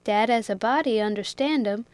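A woman's voice: on the high side, normal speed, darkish tone, no echo, lightly acted. A woman reading aloud, voicing a good-natured male ship's steward with a heavy rustic dialect and a drawling, moralizing manner. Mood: folksy.

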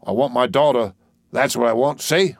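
A man voicing an elderly working-class dustman in a play reading, expressive, rhetorical and wheedling. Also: mid-range voice, normal speed, no room sound, studio quality, voice pushed up, close to an everyday voice. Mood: stern, aggrieved. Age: older.

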